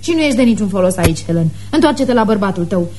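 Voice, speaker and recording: An actress in a radio play playing a defiant, sharp-tongued pregnant young woman, blunt and sardonic. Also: mid-range voice, animated, a little hiss or hum, natural voice. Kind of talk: radio theatre acting.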